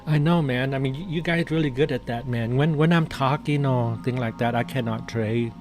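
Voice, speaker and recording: Male live-stream trader, mid-pitched voice, casual and jokey, repetitive phrasing, light music underneath. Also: moderate pace, darkish tone. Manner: chatty, jokey, repeats phrases and often says "man". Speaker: day trader hosting a live trading stream. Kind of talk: casual live trading commentary.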